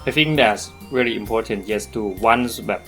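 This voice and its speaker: A man's voice: fairly low pitch, faint music behind. A man with a Thai accent chatting casually, searching for words and restarting often in English. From Thailand. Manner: halting, searches for words in second language. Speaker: podcast co-host. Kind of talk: casual chat.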